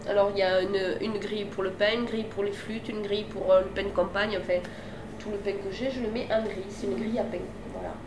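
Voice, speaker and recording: A woman's voice: mid-range voice, fairly even intonation, fast talker, echoey room, noisy background. A woman working as a bakery shop assistant. She has a cold with a blocked nose and chats and jokes with customers and an interviewer inside the shop. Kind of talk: casual chat with customers and interviewer.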